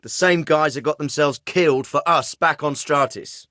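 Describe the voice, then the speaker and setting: A man playing a brisk, stern special forces captain in a video game, giving clipped, authoritative orders with dry sarcasm, sometimes through a radio filter. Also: fairly high pitch, bright, forceful tone, no echo. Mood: dry, measured. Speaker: military squad commander. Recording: radio-filtered, band-limited clips with faint static.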